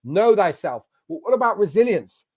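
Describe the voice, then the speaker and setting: An English-accented man talks through a screen-shared masterclass, sounding motivational and emphatic. His voice sits on the higher side for a man, and he drives his points home like a business coach rallying his audience. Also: sing-song, normal speed, a little mellow. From England. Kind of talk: online masterclass presentation. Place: at a computer sharing his screen.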